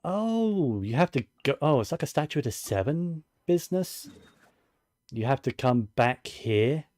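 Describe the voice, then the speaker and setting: Mellow man musing through gameplay, roomy sound. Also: mid-range voice, big pitch swings, a little slow, studio quality. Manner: musing, thinking aloud to himself. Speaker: video game streamer. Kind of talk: casual gameplay commentary.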